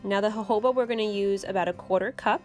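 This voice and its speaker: A young woman hosting her own channel, explaining a homemade recipe step by step in a relaxed, casual way from her kitchen. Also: medium pitch, fast, faint music behind. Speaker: channel host. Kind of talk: casual how-to vlog. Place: home kitchen.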